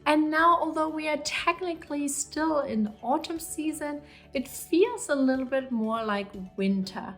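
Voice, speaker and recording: A woman in her thirties from Germany with a German accent, chatting casually and upbeat to camera in a vlog, often saying "you know". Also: a little high. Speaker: vlogger.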